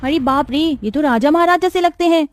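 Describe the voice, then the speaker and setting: A woman playing an innocent, excitable young younger sister in a cartoon moral story. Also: high-pitched, moderate pace, no echo. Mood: amazed.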